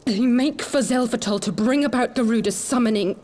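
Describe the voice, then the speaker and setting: A woman playing a wounded, poisoned young noblewoman in a game cutscene, speaking haltingly and urgently in a weak, breathy voice. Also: fairly high pitch, darkish tone, natural voice. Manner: halting, formal speech. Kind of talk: video game cutscene acting.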